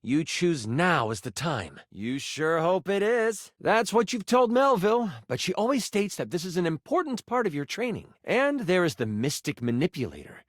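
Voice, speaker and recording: A man playing a dramatic game master, narrating a fantasy adventure in a video game with suspenseful pauses. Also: high voice, sing-song, dry recording, clean studio sound.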